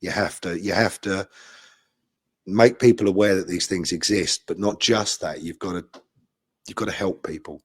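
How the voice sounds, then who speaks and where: A man speaks informally as a guest actor, in a fairly low voice. The sound is very dry, without room echo. He comes across as earnest and keen. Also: steady tone, normal speed, slightly rough. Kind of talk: informal talk about a role.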